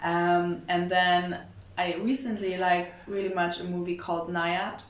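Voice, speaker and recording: A woman's voice: lowish voice, little pitch movement, measured pace. A young woman chats casually as an interview guest, hesitant and a bit apologetic in English. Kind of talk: casual chat. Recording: echoey room with background noise.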